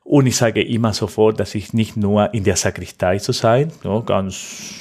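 Argentine man, low voice, halting German. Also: a little mellow, husky. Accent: Argentine Spanish. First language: Spanish. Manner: halting non-native German, searching for words. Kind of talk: conversational interview answers.

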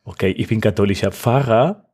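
Argentine man, low voice, halting German. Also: darkish tone, slightly rough. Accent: Argentine Spanish. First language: Spanish. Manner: halting non-native German, searching for words. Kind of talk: conversational interview answers.

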